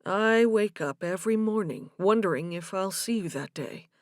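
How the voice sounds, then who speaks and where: A woman voicing an earnest, gentle man in love in audiobook dialogue, with a sincere, measured delivery. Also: medium pitch, lively intonation, dry recording, studio quality, close to an everyday voice.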